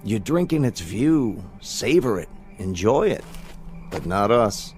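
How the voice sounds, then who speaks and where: An actor playing a confident, reflective astronaut in a radio drama, musing in an assured way. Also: male voice, lowish voice, big pitch swings, takes it slowly, a little mellow. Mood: wistful, musing. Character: confident, reflective male astronaut on eve of launch.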